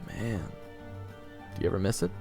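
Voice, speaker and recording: A man's voice: low voice, big pitch swings, normal speed, gravelly, full character voice. A man playing a protective young fantasy chef in an audio drama, talking casually with hesitant, concerned stammers. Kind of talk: casual, modern-sounding audio roleplay acting. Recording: music bed under the voice.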